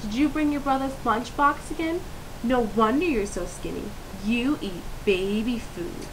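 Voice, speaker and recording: A woman voicing a taunting schoolgirl bully in a storybook, jeering loudly and drawing out the words. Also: a little high, far from the mic, voice pushed up. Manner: loud, drawn-out jeering. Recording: clear steady hiss.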